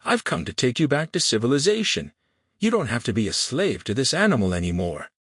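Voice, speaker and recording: A man's voice: mid-range voice, very animated, fairly quick, fairly bright tone, slightly rough, no room sound, studio quality, pitched above the performer's own voice, full character voice. A man voicing a sneering, pompous, cowardly shopkeeper in an Old West audiobook, indignant and flustered. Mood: shrill, desperate.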